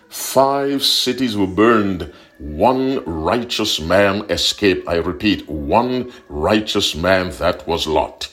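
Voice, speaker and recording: A man giving a short broadcast sermon, earnest and deliberate, repeating key points for emphasis. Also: on the low side. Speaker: preacher.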